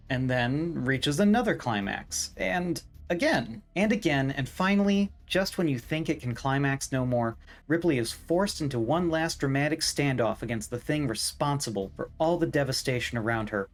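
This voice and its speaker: A man narrating a scripted video essay in a wry, opinionated, emphatic way. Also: medium pitch.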